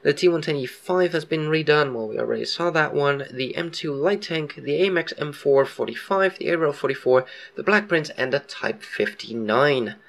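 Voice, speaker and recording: A man's voice: a little high, normal speed, gravelly, few pauses, fairly bright tone. A young man with a cold giving a rambling, casual commentary over game footage, full of ums and self-corrections. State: has a cold. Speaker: gaming video host.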